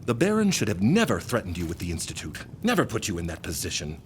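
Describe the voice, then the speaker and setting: Proud, embattled provost, swinging intonation. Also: male voice, low voice, wildly swooping pitch, fast talker, slight background noise. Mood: weary, softened. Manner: formal, clipped, probing, indignant. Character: embattled, proud but loyal male institute provost. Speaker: actor in an audio drama. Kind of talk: gothic period audio drama acting.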